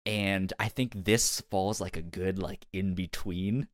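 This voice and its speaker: Male podcast co-host, low register, dry sound. Casual review chat with halting, repeated starts. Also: steady tone, no echo.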